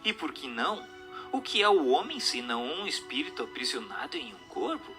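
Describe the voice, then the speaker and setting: A man's voice: on the high side, very animated, brisk, over music. A man reading a doctrinal text as dramatic, formal, declamatory scripted narration. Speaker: narrator of an audiobook radio drama.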